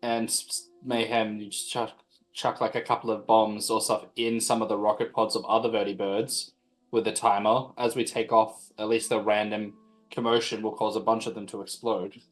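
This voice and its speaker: A man's voice: on the low side, level tone, normal speed, faint music behind, rough recording. A man casually suggesting plans as a player in a tabletop game. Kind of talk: casual strategy talk at a game.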